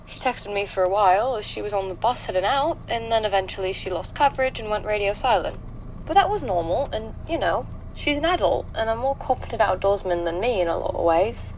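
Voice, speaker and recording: A woman acting an anxious young woman giving a halting, confiding first-person statement in an audio drama. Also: on the high side, slight background noise, rough recording. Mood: uneasy recollection. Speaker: voice actor in a fan fiction audio drama.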